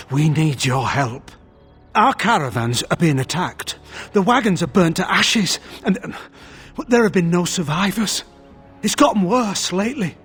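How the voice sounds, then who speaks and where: An actor playing an anxious merchants' guild official in a video game, husky, earnest, fretful and deferential. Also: male voice, high-pitched, a bit bright, heavily acted. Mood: relieved urgency. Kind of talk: video game character acting.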